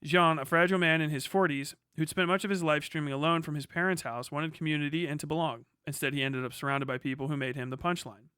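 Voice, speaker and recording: A man with a high, bright, forceful voice gives live reaction commentary. He is blunt and profane, speaking as a streamer in a dry, close sound. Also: fairly even intonation, fast, few pauses, smooth, no echo. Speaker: streamer reacting to a news video. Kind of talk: live reaction commentary with read-out passages and rants.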